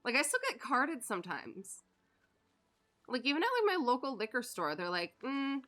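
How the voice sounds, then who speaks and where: Bright, chatty woman streamer in her thirties. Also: on the high side, sing-song, normal speed. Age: 30s. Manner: chatty storyteller, swears casually, laughs often. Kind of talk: casual chat while gaming.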